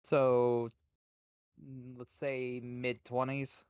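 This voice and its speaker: A man co-hosting a podcast in casual chat, hesitant and thinking out loud as he goes. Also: medium pitch, monotone, very slow, smooth, dry recording, clean studio sound. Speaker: podcast co-host.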